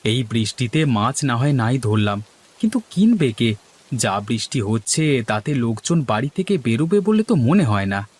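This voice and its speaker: A man's voice: mid-range voice, big pitch swings, normal speed, darkish tone, breathy edge. A man voicing theatrical Bengali cartoon village men. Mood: worried. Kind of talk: dramatised cartoon dialogue.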